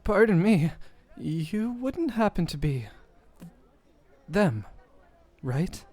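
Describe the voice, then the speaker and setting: A man acts out a confident warrior suitor in a romantic audio drama. His voice is a little higher than average. He speaks in polite, courtly phrases with a smug, needling edge toward rivals. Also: sing-song, extremely slow, a little mellow, full character voice. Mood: admiring, confident. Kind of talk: romantic audio roleplay acting.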